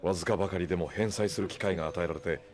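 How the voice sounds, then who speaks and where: A very low-voiced, polished gentleman of authority, played by a male actor. He speaks with smooth, formal courtesy and a dry teasing streak, in an audio drama with music clearly behind him. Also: rapid-fire, natural voice. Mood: courteous. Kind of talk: Japanese audio drama acting.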